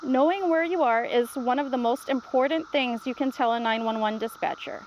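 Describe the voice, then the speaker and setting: A woman's voice: high voice, moderate pace, muffled recording, slight background noise, natural voice. A woman voicing a patient, reassuring grandmother who explains things simply in a children's storybook read-aloud. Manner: reassuring, instructive.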